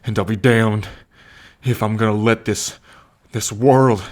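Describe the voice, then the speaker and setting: A man playing a gentle, devoted bull man with a violent past in an ASMR roleplay, speaking earnestly and tenderly with halting pauses. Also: lowish voice, steady tone, natural voice. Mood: grave resolve. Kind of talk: ASMR roleplay addressed to the listener.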